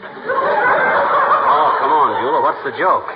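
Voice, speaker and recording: An actor playing a blustery, self-important husband and bumbling schemer, folksy and mangling big words, in a 1940s radio comedy. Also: male voice, fairly high pitch, huge pitch swings, slow pace, heavily acted. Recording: muffled, noisy old radio recording.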